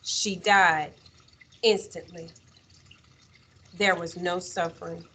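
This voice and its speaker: A woman teacher reading a children's story aloud in an expressive, measured way, with dramatic pauses. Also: fairly low pitch, slightly rough.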